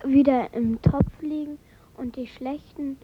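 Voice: sits high, fairly even intonation, measured pace, a little hiss or hum. A child retelling a fairy tale simply from memory, recorded on tape with very narrow sound. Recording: very narrow, phone-like cassette sound.

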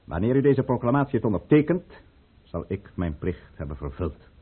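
Proud conspirator count: low, slightly husky, theatrical and commanding, on vintage narrow-band radio drama. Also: male voice, very animated, normal speed. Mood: solemn, resigned. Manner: grave, commanding, theatrical delivery. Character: proud, resolute count, a conspirator serving Russia. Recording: muffled, narrow-band old radio play recording.

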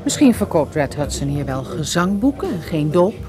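A woman's voice: low voice, big pitch swings, normal speed, over music. An actress playing a detective's wry, spirited female companion in a Dutch radio drama. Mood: wry, teasing.

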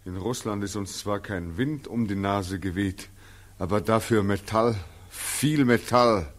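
A man's voice: on the low side, a little slow, natural voice. An actor playing a bitter, desperate 25-year-old returned soldier in a 1940s radio drama, terse and weary or anguished in his pleading. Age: young adult.

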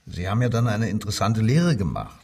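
An actor playing a cold, domineering Nazi police commissioner who questions sternly and curtly in a crime radio drama. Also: male voice, fairly low pitch, sing-song, quick pace, creaky, darkish tone, distant mic, exaggerated voice. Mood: sneering, probing. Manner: stern interrogator, curt probing questions.

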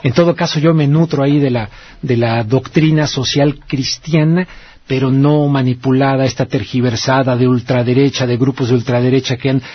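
A man's voice: medium pitch, steady tone, moderate pace, husky, no room sound, lo-fi sound. A man with a Mexican accent hosting a radio call-in show, talking in a casual, colloquial, rambling and reflective way. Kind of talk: casual conversational radio commentary.

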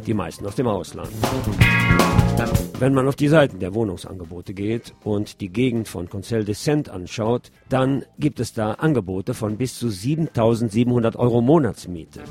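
A middle-aged man hosting a radio show, reading news commentary in a measured, opinionated way. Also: lowish voice. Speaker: radio host.

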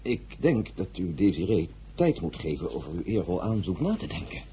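An actor playing a formal, cautious, self-important brother-in-law in a radio drama, with a stiff, pompous manner. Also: male voice, low-pitched, big pitch swings, moderate pace, mellow, breathy edge, heavily acted. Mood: puzzled, cautious.

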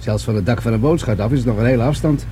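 An actor playing a wry, fatherly police commissioner in a radio drama, speaking in a dry, deliberate, pondering way. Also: mid-range voice, dark tone, slight background noise, close to an everyday voice. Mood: musing, wry. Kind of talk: Dutch radio drama dialogue.

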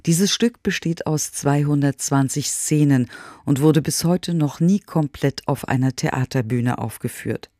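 Mellow, measured female radio presenter. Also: low-pitched, dry recording, clean studio sound. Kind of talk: scripted narration.

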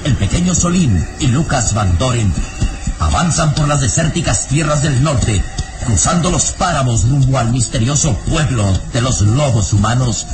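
A man narrating a vintage radio serial in a dramatic, scripted style. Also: medium pitch. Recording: old radio recording with music underneath.

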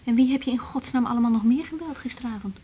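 A woman's voice: on the high side, mellow, husky, dull audio. An actress playing a guarded, defiant young woman doctor in a radio drama. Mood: indignant. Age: young adult. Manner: evasive, curt, quick to retort. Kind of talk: 1980s Dutch radio drama acting.

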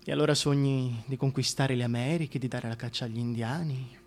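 An actor playing a scheming, bitterly sarcastic tyrant in a theatrical radio monologue, volatile and sardonic in delivery. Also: male voice, medium pitch, fairly even intonation, brisk, slight background noise, natural voice. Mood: brooding, dreamy. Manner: sardonic, volatile, swinging from hushed musing to outbursts.

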